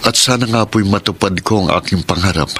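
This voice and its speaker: A man's voice: lowish voice, brisk, natural voice. A man with a Filipino accent acting an earnest, devoted young suitor and dutiful son in a radio drama. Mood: gentle, hopeful.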